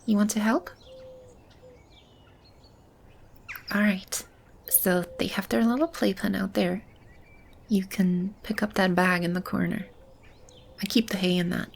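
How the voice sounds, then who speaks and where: A woman playing a gentle, nurturing keeper of a magical animal shelter in an ASMR roleplay, speaking softly and soothingly to a child. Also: mid-range voice, fairly even intonation, normal speed, gravelly, a little hiss or hum, close to an everyday voice. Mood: warm, encouraging.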